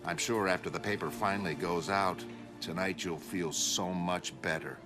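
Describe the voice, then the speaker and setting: A man voicing a polite male newspaper reporter in a motion comic, with a measured, coaxing manner. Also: deep voice, fairly even intonation, music in the background, natural voice. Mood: soothing, polite. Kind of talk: motion comic dramatic reading.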